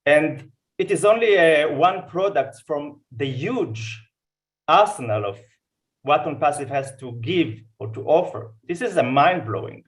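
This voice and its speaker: A man's voice: on the high side, expressive, measured pace. A man giving effusive thanks and praise at a live online event. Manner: effusive, grateful. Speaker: event participant giving feedback.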